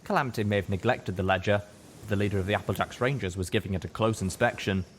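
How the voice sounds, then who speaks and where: A man reading a scripted story aloud as an expressive audiobook narrator. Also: fairly low pitch, quick pace, slight background noise.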